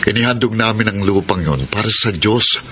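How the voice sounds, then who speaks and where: A man playing a gentle, devout, generous middle-aged husband in a Filipino radio drama, speaking patiently and earnestly. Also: lowish voice, moderate pace, a little hiss or hum. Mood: patient, defensive.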